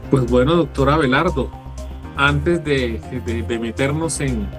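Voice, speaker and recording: A man's voice: medium pitch, unhurried, over music. A Colombian man from Nariño, raised in Bogotá, with a Bogotá accent, hosting a political interview and asking long, hesitant questions. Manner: hesitant, many restarts and fillers. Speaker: interviewer, host.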